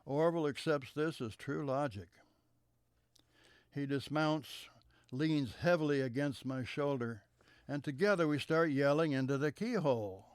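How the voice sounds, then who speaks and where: A man reading a childhood memoir aloud in a measured storytelling manner, letting sentences trail off softly at their ends. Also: on the high side, smooth, no room sound, clean studio sound.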